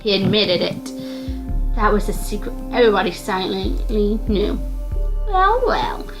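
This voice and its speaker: A woman's voice: medium pitch, steady tone, moderate pace, low-quality recording. A woman reading scripted narration from a visual novel aloud, occasionally stumbling and repeating words. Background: clear background music.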